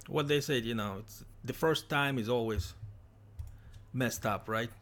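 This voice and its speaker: A man from Ukraine hosting a casual livestream interview, talking haltingly with many restarts over a computer microphone. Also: mid-range voice, a bit bright. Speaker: livestream host.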